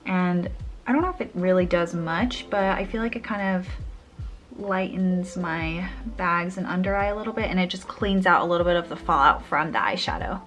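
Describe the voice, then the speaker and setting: A woman's voice: on the low side, moderate pace, fairly bright tone, soft background music. A young woman chatting casually through a makeup tutorial, a little sniffly, rambling and trailing off mid-sentence. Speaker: beauty vlogger.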